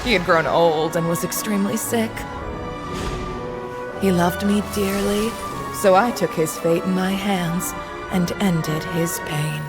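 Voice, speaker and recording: A woman voicing a somber, sorrowful witch in a video game, confiding gravely. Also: on the low side, slow. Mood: somber, tender. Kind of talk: video game character acting. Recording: clear music playing behind.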